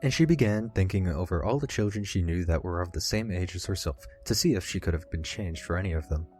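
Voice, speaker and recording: A man with a low-pitched voice reads scripted storybook narration. He serves as the audiobook's narrator, and music plays behind his voice. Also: fast, darkish tone.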